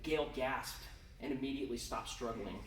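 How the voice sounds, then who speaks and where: A man reading his own story aloud with intense, theatrical delivery and emphatic pauses. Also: mid-range voice, a crowd in the background. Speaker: author reading own fiction. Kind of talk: dramatic live story reading. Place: indoor convention reading room. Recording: roomy, distant, noisy live event recording.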